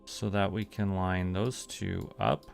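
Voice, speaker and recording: A man's voice: sits low, level tone, slow, creaky, soft background music. A Canadian man doing casual gameplay commentary as a streamer, thinking aloud and talking himself through each step.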